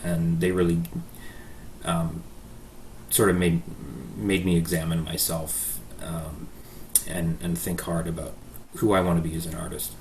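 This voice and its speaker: A man's voice: low-pitched, level tone, a little slow, pauses often, slight background noise. A Canadian man in his thirties answering interview questions about his life, with frequent ums and uhs. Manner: hesitant, many ums and uhs. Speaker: guest pianist.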